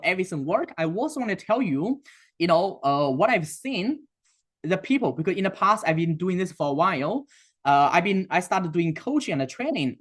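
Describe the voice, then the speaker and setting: A man with a Chinese accent presenting a sales webinar, talking in a halting way with many fillers and restarts. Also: a little high. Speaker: webinar host and sales coach.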